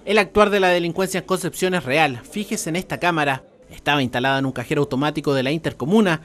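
A man's voice: a little high, fast talker, fairly bright tone. A man with a Chilean accent narrating a scripted television news report.

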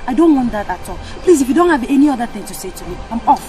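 A woman's voice: fairly high pitch, very animated, racing, a little mellow, faint music behind. A woman playing a firm, irritated girlfriend. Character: firm young woman refusing her boyfriend's brother.

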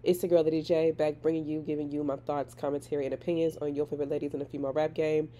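A woman's voice: deep voice, level tone, racing, dark tone, slight background noise. A woman with a Southern US accent, congested from a cold, giving chatty and opinionated commentary on celebrity gossip. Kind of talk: casual commentary vlog. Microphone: voice-over on still images.

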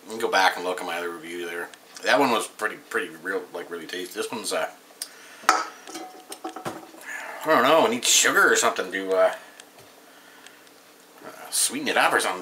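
A man's voice: lowish voice, pushed and bright, a little hiss or hum. A man in his thirties giving a casual, rambling home beer review, seated at a table and recorded on the camera's mic. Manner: rambling, offhand, reads label aloud. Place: small room at home, indoors.

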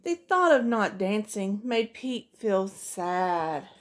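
A woman's voice: medium pitch, slow. Sing-song woman reading a picture book. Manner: sing-song storybook reading with lively voiced characters. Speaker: preschool teacher. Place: small room indoors.

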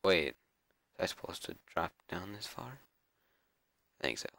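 Hoarse guy with a cold, deep gravelly voice, slow mumbly game commentary. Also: sing-song, fairly bright tone, no room sound, clean studio sound. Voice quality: raspy, scratchy. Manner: mumbling, self-talk with frequent fillers and repeats. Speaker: gameplay video creator. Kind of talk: casual gameplay commentary.

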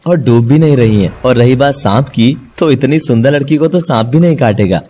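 A man's voice: medium pitch, brisk, warm tone, slight background noise, lightly acted. A man voicing a charming, teasing young man in a cartoon story.

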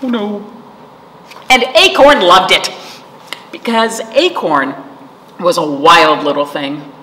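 A middle-aged woman telling a children's story as a storytime host, animated and expressive, with asides to her listeners. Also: on the low side, slow. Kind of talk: expressive read-aloud storytelling for young children. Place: indoor library storytime room. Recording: roomy reverb with faint room noise.

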